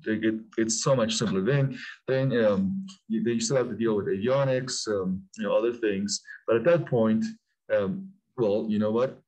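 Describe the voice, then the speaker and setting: Man in his 40s, from Peru, lowish voice, halting with lots of ums, video call interview guest. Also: low-quality recording. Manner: halting, many fillers and restarts, animated bursts. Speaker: aerospace company CEO, guest. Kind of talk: casual interview talk.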